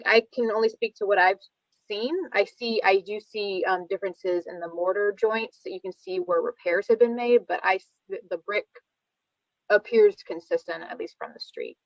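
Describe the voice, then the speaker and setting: A woman's voice: medium pitch, steady tone, moderate pace, no echo. Careful woman on a dry, muffled call. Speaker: staff presenter at a public meeting. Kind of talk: informal explanation of images. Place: online meeting. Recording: dull dry lo-fi online call.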